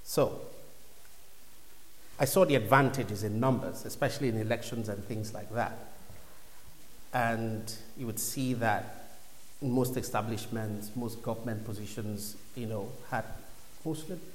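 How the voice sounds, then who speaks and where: A man's voice: on the low side, unhurried, pauses often. A man from Nigeria in his thirties shares his faith story before a congregation, talking in a reflective, conversational way. His voice rings through a big, echoey hall with a long reverb tail. A faint hum of the room sits underneath. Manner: reflective, conversational, drops to near whispers. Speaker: church speaker giving a testimony. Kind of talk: personal testimony to a congregation.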